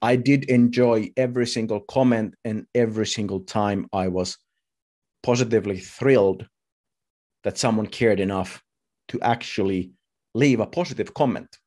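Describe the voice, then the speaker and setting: A man in his thirties giving a personal talk as a speaker, telling his story in short, halting, deliberate phrases. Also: fairly low pitch, steady tone, a little mellow. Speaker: conference speaker. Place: indoors at home, video call.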